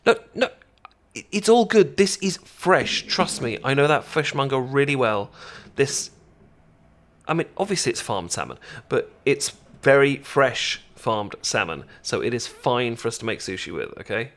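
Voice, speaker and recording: A British man acting out an eager, friendly best friend in a casual ASMR roleplay, with a halting, stammering, rambling way of talking. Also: mid-range voice, lively intonation, fairly bright tone. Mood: reassuring. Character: friendly, eager young male best friend teaching sushi-making.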